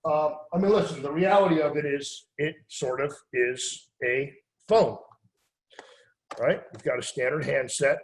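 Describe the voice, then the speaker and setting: A man's voice: on the high side, a little slow, low-quality recording. A man giving a casual product demonstration as an expert, conversational and plain-spoken.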